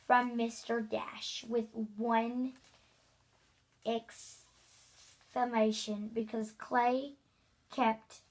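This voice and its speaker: A young child reading a children's book aloud, haltingly, stumbling over words. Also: medium pitch. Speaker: reader.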